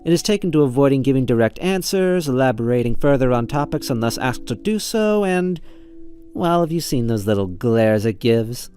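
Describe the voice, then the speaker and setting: An actor playing a smug, quietly sadistic schemer in an audio drama, a man with a drawling, insinuating manner. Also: mid-range voice, lively intonation, moderate pace, soft background music, close to an everyday voice. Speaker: doctor's colleague in an audio drama.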